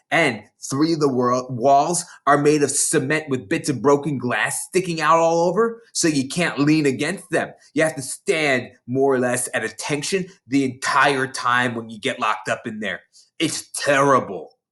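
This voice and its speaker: A man's voice: medium pitch, fairly bright tone, natural voice. A man acts out a big, rugged ten-year-old schoolgirl in a storybook. She is brash and boastful, pushing her words out with emphasis and savouring every grim detail.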